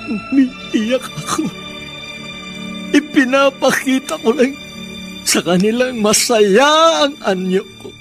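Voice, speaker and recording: A lonely, heartbroken widower in a radio drama. His voice sits high and moves slowly, halting and repeating itself in sorrow. Soft music plays behind him. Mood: tearful, mournful. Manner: slow, halting, sorrowful delivery with repeated phrases. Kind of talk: Filipino radio drama monologue. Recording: music under the voice.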